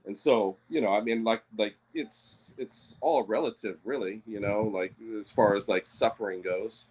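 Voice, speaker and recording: A man's voice: lowish voice, normal speed, a little mellow, low-quality recording. A Native Hawaiian man talking things through on a podcast, hesitant, with many fillers and restarts. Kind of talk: casual discussion.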